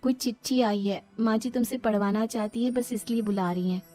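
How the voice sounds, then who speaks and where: A gentle young village daughter-in-law , acted by a woman, a little raised in pitch. She is naive, meek and sisterly, in a cartoon storybook telling over music. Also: fairly quick, natural voice. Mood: gentle protest.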